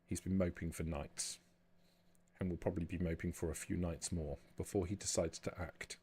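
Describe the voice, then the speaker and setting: A man voicing a formal, guarded vampire woman who is quietly plotting to defect, speaking in measured, deliberate phrases. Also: deep voice, fairly even intonation, slight background noise, natural voice. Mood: grave, guarded.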